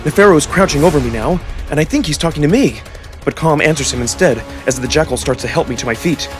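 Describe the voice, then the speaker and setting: A man narrating a first-person story in a theatrical, expressive, scripted style. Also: fairly high pitch, fast talker, faint music behind. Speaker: narrator and voice actor.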